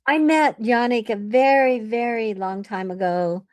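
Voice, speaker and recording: A woman with a fairly high voice chats casually and effusively, recorded close and dry. She sounds friendly, like an event producer catching up with colleagues. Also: a little slow, dry recording. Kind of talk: casual chat.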